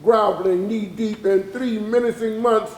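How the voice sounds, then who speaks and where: A man performing an anguished witness for enslaved captives, reciting dramatic verse in an intense, incantatory way with drawn-out emphatic words. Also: sits high, steady tone, darkish tone, a little hiss or hum. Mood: anguished, grim. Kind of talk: dramatic spoken-word poetry recitation, readers theatre. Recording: echoey room on stage.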